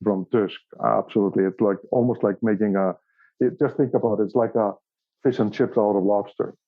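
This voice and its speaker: A man with a fairly deep voice and an Icelandic accent talks over a narrow, phone-like connection. He gives casual interview answers haltingly, often repeating a word while he finds the next phrase. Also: steady tone. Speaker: interview guest, company operations director. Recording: thin phone-like call audio.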